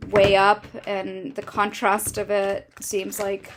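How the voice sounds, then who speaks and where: Slow, soft-voiced woman reading tarot. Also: monotone, noisy background. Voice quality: soft, low-volume voice. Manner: slow, musing, thinking aloud with fillers. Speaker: tarot reader. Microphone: microphone right in front of her.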